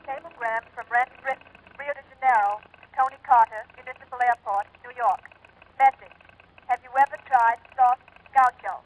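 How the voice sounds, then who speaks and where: An actress playing a clipped cablegram operator in a 1940s radio drama, reading formally through a telephone filter. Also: female voice, on the high side, fairly even intonation, moderate pace. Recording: tinny phone-like line.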